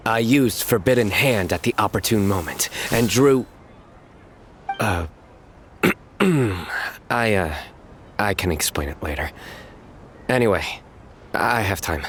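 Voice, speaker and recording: An actor voicing a stern young lawman who delivers puns in a deadpan way, in a game cutscene. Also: lowish voice, slow pace, long pauses, slight background noise.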